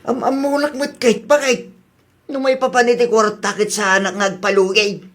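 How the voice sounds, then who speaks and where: An actor voicing an old husband in a radio drama who talks slowly and calmly. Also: medium pitch, natural voice. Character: old husband who runs a rice store. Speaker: store owner's husband. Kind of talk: Ilocano radio drama acting.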